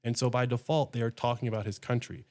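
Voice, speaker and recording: A man's voice: medium pitch, flat delivery, quick pace, a little mellow, no room sound. A man co-hosting a podcast, chatting casually and giving blunt opinions to his partner. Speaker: podcast co-host. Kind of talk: casual couple chat.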